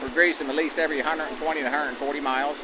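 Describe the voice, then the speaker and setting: A man explains things practically and at a quick clip in an interview. His voice is band-limited like old radio, with plenty of background noise. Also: fairly high pitch, fairly even intonation, lo-fi sound. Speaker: locomotive crew chief. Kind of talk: unscripted interview answers. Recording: band-limited, noisy outdoor vintage-style sound.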